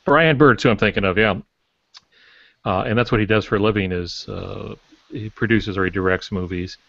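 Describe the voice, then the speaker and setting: An older man chatting casually as a podcast guest, telling anecdotes and pausing to search for words. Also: on the low side, creaky, fairly bright tone. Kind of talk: casual panel talk, anecdotes. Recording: dull lo-fi roomy online audio.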